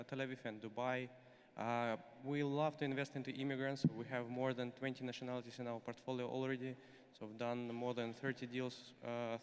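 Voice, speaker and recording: A man introducing himself as a fund partner on a panel, brisk and cheerful. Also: medium pitch, smooth. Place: large conference hall stage. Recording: long hall reverb.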